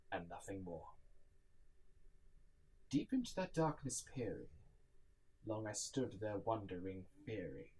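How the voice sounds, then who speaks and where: A man giving an amateur, theatrical reading of a gothic poem, moving between hushed murmurs and louder passages. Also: on the low side, poor audio. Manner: theatrical, swinging from hushed murmurs to loud outcries. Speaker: amateur poetry reader practising monologues.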